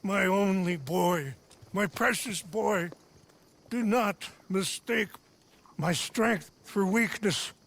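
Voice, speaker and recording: Weary old chief, slow and gravelly. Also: male voice, sits high, fairly bright tone, heavily acted. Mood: solemn, weary. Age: older. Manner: solemn, measured, imploring. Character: weary old male chief pleading for his people, a father.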